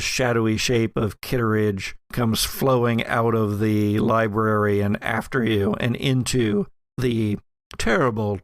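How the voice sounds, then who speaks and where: Slow, gravelly man running a game. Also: medium pitch, dry recording. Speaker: game master of tabletop horror podcast. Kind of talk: casual game narration and banter.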